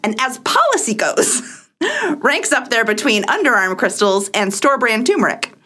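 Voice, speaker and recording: Theatrical, high-pitched, animated woman podcaster. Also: measured pace. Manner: theatrical, emphatic, sardonic. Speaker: podcast co-host. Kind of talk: mock-dramatic reading of tweets, mixed with casual chat.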